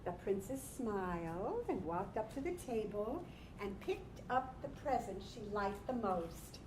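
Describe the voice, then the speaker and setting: A woman's voice: mid-range voice, lively intonation, a little slow, echoey room, noisy background. A woman reading narration in a dramatized literary storytelling performance. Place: live staged reading in a room.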